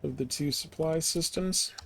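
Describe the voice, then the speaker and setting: A man's voice: on the high side, unhurried, creaky, a bit bright. A man giving casual, laid-back gameplay commentary on a live stream, talking half to himself and half to viewers. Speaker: game streamer.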